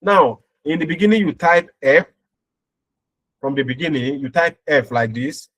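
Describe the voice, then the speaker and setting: A man's voice: on the high side, expressive, moderate pace, a bit bright. A man giving an informal step-by-step programming tutorial as an instructor, often checking in with short tag words as he explains.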